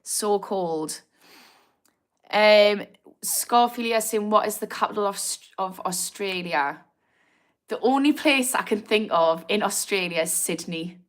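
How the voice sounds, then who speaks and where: Chatty Newcastle woman on a livestream. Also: mid-range voice. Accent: Geordie (Newcastle). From Newcastle, England. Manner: chatty, informal, reads viewer comments aloud, laughs easily. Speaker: livestream host on addiction recovery. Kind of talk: casual live-stream chat reading comments.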